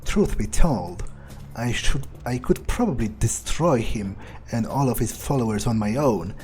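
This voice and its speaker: A man's voice: mid-range voice, animated, a little slow, darkish tone, slight background noise, close to an everyday voice, poor audio. A man playing an arrogant, power-drunk vampire in an improvised in-character game monologue, sneering, profane and domineering. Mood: confident, musing.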